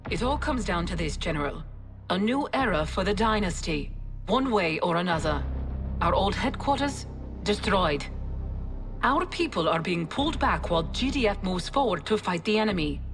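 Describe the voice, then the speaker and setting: An actress playing a loyal, earnest female military aide, giving a grave, measured briefing in a video game. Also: fairly low pitch, expressive. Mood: grave, devoted. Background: game music.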